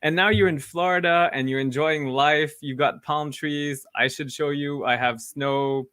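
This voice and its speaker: Male podcast host, crisp and bright, dry close sound, casual interviewing. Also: a little high, fairly even intonation, measured pace, no room sound.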